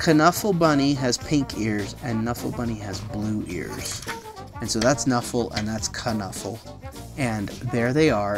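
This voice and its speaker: Slow, very animated picture-book storyteller. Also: medium pitch. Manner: explains pictures simply, as to a small child. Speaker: read-aloud storyteller. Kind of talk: expressive picture-book reading with casual asides.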